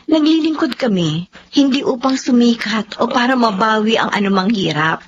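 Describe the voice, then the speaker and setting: A woman playing a gentle, principled doctor in a Filipino drama, speaking in a polite, earnest, measured way. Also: a little high, lively intonation, lightly acted. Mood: firm, earnest. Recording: muffled lo-fi drama recording with background noise.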